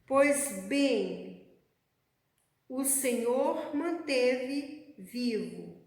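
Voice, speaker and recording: Woman preacher, high voice, slow measured delivery, long church-hall reverb. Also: lively intonation, vocal fry, a little mellow. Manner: slow, measured preaching, addressing the congregation as beloved. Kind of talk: sermon.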